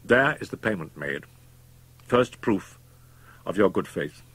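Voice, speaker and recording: A man performs a worldly young doctor with murder behind him, in a storybook reading. He is calm and domineering, pressing each point persuasively in a firm, slightly husky voice. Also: low voice, measured pace, no echo, full character voice. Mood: smooth persuasion.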